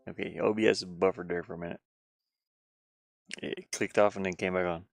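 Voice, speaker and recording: A man's voice: deep voice, fairly even intonation, moderate pace, creaky, over loud music. A man live streaming game design work, rambling and musing casually as he hesitantly thinks aloud.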